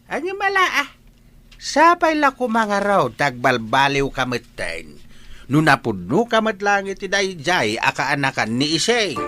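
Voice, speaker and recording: A man's voice: fairly high pitch, huge pitch swings, measured pace, bright, forceful tone, a little hiss or hum, exaggerated voice. A man playing a cranky, mocking old father in a radio drama, scolding with an Ilocano accent. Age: older. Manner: scolding, exclamatory.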